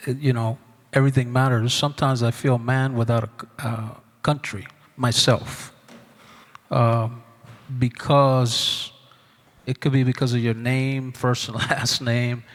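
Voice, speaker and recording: Puerto rican guy moderating a panel, halting, lots of uhs, echoey hall. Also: mid-range voice, level tone. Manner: halting, searching for words. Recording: reverberant hall.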